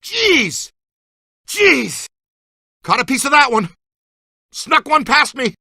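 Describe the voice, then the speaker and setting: Excitable, bright-voiced ghost hunter guy. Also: very high voice, slow, creaky, breathy edge, no echo, studio quality, heavily acted. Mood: pained, startled. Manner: enthusiastic, slangy, folksy team-leader talk. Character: eager, excitable middle-aged male ghost hunter mentoring a rookie. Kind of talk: video game voice acting.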